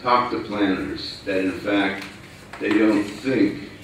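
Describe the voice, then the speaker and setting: Deep-voiced stammering man in an echoey hall. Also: slow pace, a little hiss or hum. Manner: stammering, unsure. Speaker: audience member. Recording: echoey hall, distant mic.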